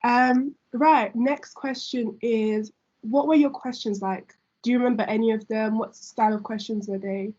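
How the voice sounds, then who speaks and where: A woman's voice: fairly high pitch, fairly even intonation, normal speed, a little mellow. A young woman hosting a casual group chat among students, talking chattily with many fillers. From Nigeria. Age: young adult. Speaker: student host. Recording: dull lo-fi audio.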